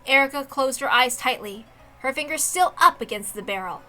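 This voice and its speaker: A woman's voice: high voice, animated, normal speed, sharp tone, soft background music. A woman reading a visual novel aloud as a dramatic narrator.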